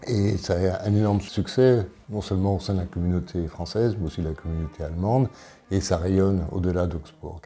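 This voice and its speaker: A man of sixty-two from Paris, presenting himself as an election candidate in an interview, speaking in a halting, deliberate way. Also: sits low, dark tone, faint music behind. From Paris, France. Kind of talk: interview answers, self-presentation.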